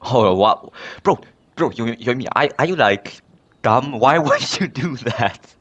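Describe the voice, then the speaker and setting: A man's voice: medium pitch, expressive, unhurried, a bit bright, highs cut off. A man giving casual, off-the-cuff game commentary in a sarcastic, incredulous manner. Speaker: gamer, playthrough commentator. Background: game music.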